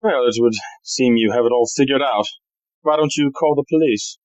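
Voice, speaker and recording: Man playing a wry, guarded thief, medium pitch. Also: moderate pace, a little mellow, dull audio, natural voice. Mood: wry, defensive. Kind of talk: stage-like audio drama acting.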